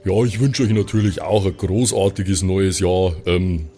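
A man's voice: deep voice, steady tone, unhurried, warm tone, a little hiss or hum. A man with a Bavarian accent chatting casually as a player in an improvised audio game.

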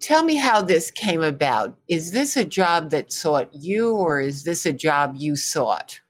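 A woman's voice: low voice, lively intonation, measured pace. A woman hosting an interview show, introducing and questioning a guest.

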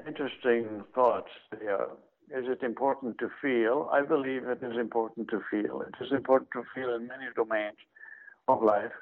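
A man's voice: mid-range voice, fairly even intonation. Older Israeli man over a tinny line. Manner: slow, measured, dry humor, soothing. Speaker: psychology professor, guest. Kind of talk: reflective interview answers. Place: home workroom. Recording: tinny phone-line audio.

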